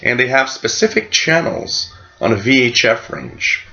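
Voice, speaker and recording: A man's voice: mid-range voice, animated, unhurried, creaky, pushed and bright, muffled recording. A middle-aged man from Canada casually explaining things as host of a hobby radio channel, recorded in a small room. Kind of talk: casual explanatory vlog talk.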